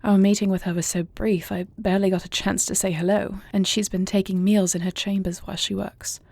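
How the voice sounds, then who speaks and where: A woman playing an earnest young princess and heir in an intimate audio roleplay, soft-spoken and formal in her phrasing. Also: medium pitch, level tone, brisk, creaky. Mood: worried, uneasy. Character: earnest young princess and warrior heir, anxious yet tender.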